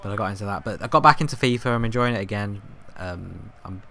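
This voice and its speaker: A young man with a hoarse, fading voice, chatting casually over his football game footage, rambling with lots of ums and restarts. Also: on the low side, fast talker, bright, forceful tone. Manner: rambling, filler-heavy, self-correcting. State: hoarse, coughing, voice going. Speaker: gaming YouTuber. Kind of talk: casual gameplay commentary.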